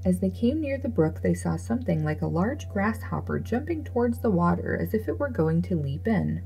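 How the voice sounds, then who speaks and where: A woman storyteller reads a fairy tale from a script. Her voice sits on the low side, soft-edged and dark, with noticeable creak. Music plays behind her. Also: very animated, moderate pace, poor audio.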